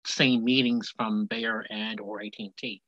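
A man in his forties rambles cheerfully through his story over the phone. His voice is bright and forceful. The line is dry and a bit muffled. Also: lowish voice, level tone, unhurried, no echo. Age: 40s. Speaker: guest. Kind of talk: casual phone interview.

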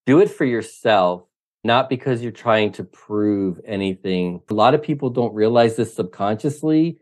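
A man's voice: on the low side, animated, moderate pace, darkish tone. A middle-aged man, a triathlon coach, answering interview questions in an emphatic, opinionated way, often running through lists. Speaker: triathlon coach, podcast guest.

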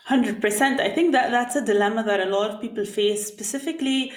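A woman's voice: a little high, brisk, roomy sound. A woman hosting an interview podcast, reading a polished introduction and then asking questions conversationally. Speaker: podcast host.

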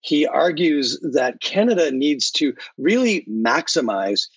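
A man's voice: a little high, big pitch swings, slow, breathy edge, no room sound. A man co-hosting a talk podcast, chatty and appreciative, rambling through his questions. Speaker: podcast co-host. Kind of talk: conversational interview.

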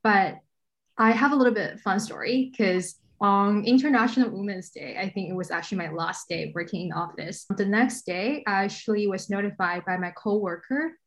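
A woman's voice: medium pitch, moderate pace. A woman in her twenties from China, talking eagerly with many fillers as a podcast guest. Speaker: guest, staff writer. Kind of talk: casual podcast conversation.

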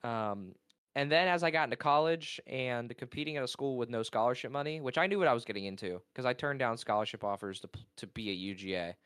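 Loud, rambling young esports caster. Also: male voice, mid-range voice, fast talker, smooth, no room sound. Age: young adult. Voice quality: naturally loud voice. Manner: rambling, excitable, loses his thread. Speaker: esports caster and college player. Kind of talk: casual interview talk.

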